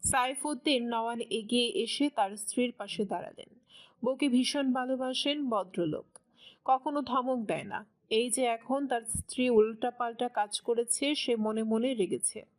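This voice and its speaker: Casting: female narrator for scripted fiction, slightly high register, storytelling delivery. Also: unhurried, rough recording.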